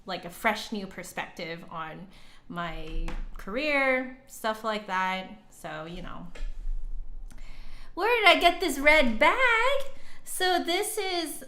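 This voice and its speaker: A woman's voice: fairly high pitch, sing-song, slow, bright, forceful tone, slight background noise. A woman hosting a casual livestream, chatting with viewers and thinking aloud in a rambling, hesitant way. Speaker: livestream host, flute musician and teacher. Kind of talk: casual livestream chat, reading and answering comments. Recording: roomy, echoey indoor sound.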